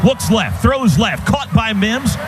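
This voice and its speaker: Excitable high-pitched male football play-by-play announcer. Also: music in the background. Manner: clipped rapid phrases, shouting on big plays. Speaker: radio play-by-play sportscaster. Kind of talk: excited live football commentary. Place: stadium radio booth. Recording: noisy stadium radio broadcast. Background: loud stadium noise.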